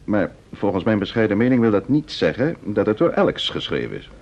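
An actor playing a composed, courteous, shrewd detective in an old radio play, speaking in a measured, polite manner. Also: male voice, lowish voice, very animated, darkish tone. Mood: reasoning. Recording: muffled vintage radio recording.

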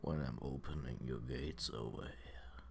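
A man voicing a seductive, domineering incubus demon in an ASMR roleplay, teasing in an intimate, breathy murmur that often drops to a whisper. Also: rumbling low, noisy background, exaggerated voice. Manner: slow, teasing, commanding.

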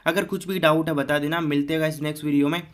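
A man's voice: fairly high pitch, steady tone, quick pace. A young man giving a casual step-by-step phone tutorial, talking informally to viewers as friends and often repeating little filler phrases. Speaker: tech tutorial YouTuber.

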